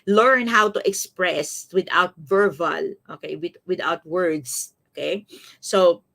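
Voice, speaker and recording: A woman's voice: lowish voice, takes it slowly, creaky, fairly bright tone. A woman in her fifties with a Filipino accent, giving a motivational live-streamed coaching talk, repeating phrases and often checking in with her listeners. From Philippines. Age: 50s. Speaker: life coach, host of a live series.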